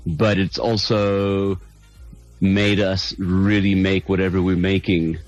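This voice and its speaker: A man's voice: sits low, little pitch movement, takes it slowly, no room sound, highs cut off, soft background music. A middle-aged South African man, a band's lead singer, chatting in an interview, thoughtful and hesitant with many restarts. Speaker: band lead singer, guest. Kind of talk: casual interview chat.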